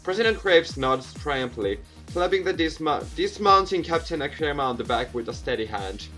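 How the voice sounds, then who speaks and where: A man's voice: a little high, lively intonation, normal speed, sharp tone. A young man hosting a gaming video, reading visual novel narration aloud with asides and stumbling over repeated words. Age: young adult. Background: music.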